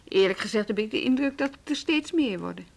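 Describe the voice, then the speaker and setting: A woman's voice: on the high side, lively intonation, brisk, vocal fry. A woman playing a principled colleague in a radio drama, frank and questioning. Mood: challenging. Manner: frank, challenging. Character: principled, questioning female music school colleague.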